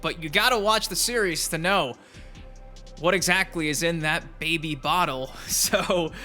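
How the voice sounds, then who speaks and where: Bright-voiced man hosting gaming news. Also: fairly high pitch. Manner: chatty, informal, emphatic, repeats words for stress. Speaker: YouTube gaming news host. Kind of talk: casual gaming news commentary. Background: background music.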